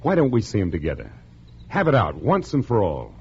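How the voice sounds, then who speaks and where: Devoted boyfriend: very low, relaxed voice with lively swings, teasing and informal, on a dull vintage radio recording. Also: male voice, brisk, husky, exaggerated voice. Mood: earnest, impatient. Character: playful, devoted male lover, a piano player. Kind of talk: mid-century radio drama acting.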